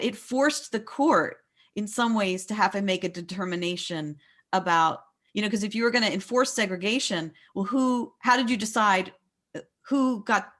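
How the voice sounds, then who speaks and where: A woman's voice: mid-range voice, fairly bright tone. Reflective American woman explaining in discussion. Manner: explanatory, reflective, often rephrasing mid-sentence. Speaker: book discussion leader. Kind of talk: thoughtful literary discussion.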